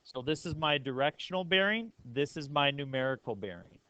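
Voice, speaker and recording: A man's voice: fairly high pitch, expressive, brisk, bright, forceful tone, smooth, dry recording, muffled recording. Dry-sounding male math teacher. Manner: checks understanding with frequent questions. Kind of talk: classroom lesson, explaining step by step.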